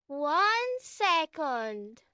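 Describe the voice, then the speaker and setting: A man voicing a cheerful, proud little male magic bunny in a bedtime story, bouncy and gentle. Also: extremely high pitch, big pitch swings, drawn-out words, dry recording, clean studio sound, voice pushed up, full character voice.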